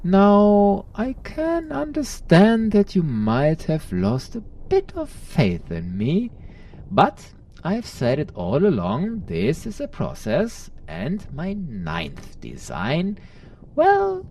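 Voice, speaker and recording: A man voicing a scheming ancient male Sith lord in a mask, sly and theatrical, slipping into breathy whispers. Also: high-pitched, huge pitch swings, slow pace, a little mellow, recorded from a distance, slight background noise, voice pushed up, heavily acted. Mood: smug. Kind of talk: acted quotes in a retold comic story.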